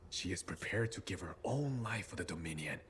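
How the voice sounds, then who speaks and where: A smug, eccentric male scientist held captive, speaks low with very lively, swooping intonation. He mocks and patronizes like a showman, in a game scene with faint noise behind him. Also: brisk. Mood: smug, taunting. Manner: mocking, patronizing, theatrical. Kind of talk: video game cutscene acting.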